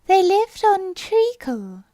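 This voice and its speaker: A woman voicing a sleepy, feeble little male dormouse from a storybook, with a hoarse, drowsy delivery. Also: very high voice, big pitch swings, slow, dark tone, no room sound, studio quality, voice pushed up, heavily acted. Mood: sleepy storytelling. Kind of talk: storybook character voice.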